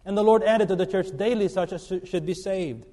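A middle-aged man from the Philippines, preaching a sermon in an earnest, halting way full of fillers and restarts. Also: high-pitched, dark tone. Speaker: guest preacher. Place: church sanctuary. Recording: long reverb of a church hall.

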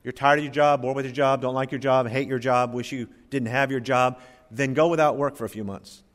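A middle-aged male pastor delivers a sermon, his medium-pitched voice carrying a long reverb through the church sanctuary. He swings from loud, emphatic bursts down to quiet, low asides. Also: fairly even intonation, brisk.

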